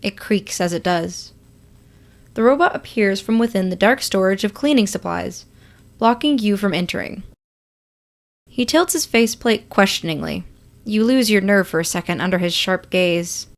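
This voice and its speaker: A woman reading a story aloud as expressive, scripted second-person narration. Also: medium pitch, normal speed. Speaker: podfic reader.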